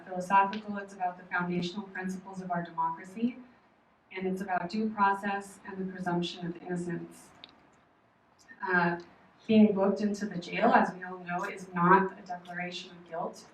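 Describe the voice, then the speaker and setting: A woman from Oklahoma giving a prepared public comment at a meeting, earnest, measured and firm. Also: lowish voice, fairly even intonation, a bit bright. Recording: echoey hall, distant mic, faint noise.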